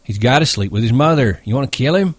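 A man voicing a gruff, protective ranch hand who talks in a blunt, folksy, emphatic way in a story. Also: lowish voice, lively intonation, moderate pace, dry recording. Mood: stern.

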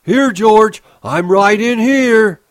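A man voicing a simple-minded, gentle ranch hand who talks in a childlike, eager way. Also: on the high side, animated, slow, no echo, studio quality, pitched above the performer's own voice.

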